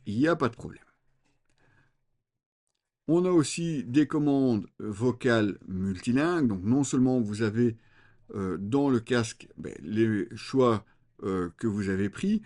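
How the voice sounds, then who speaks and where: A man giving an unscripted, conversational product review, explaining things to viewers with frequent hesitant pauses. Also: mid-range voice, dark tone. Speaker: motorcycle gear reviewer.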